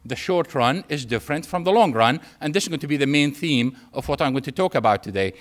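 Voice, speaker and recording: Male lecturer, Syrian Arabic accent, native Arabic speaker, slightly high pitch, emphatic storytelling delivery with deliberate pauses. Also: lively intonation. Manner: emphatic, repeats phrases, pauses for effect. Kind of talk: public lecture with anecdotes and humour.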